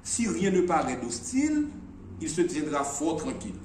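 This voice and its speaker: A man voicing a wise, demanding storybook mage in a declamatory, deliberate manner. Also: on the high side, big pitch swings, a little mellow. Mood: solemn promise. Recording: echoey room, distant mic, faint noise.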